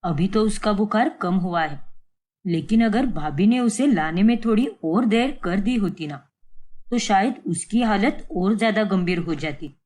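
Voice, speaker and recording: A measured, matter-of-fact male doctor in a cartoon family story, as a woman puts him on. Steady and sensible, he calms the quarrel. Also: mid-range voice, pitched below the performer's own voice. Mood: serious.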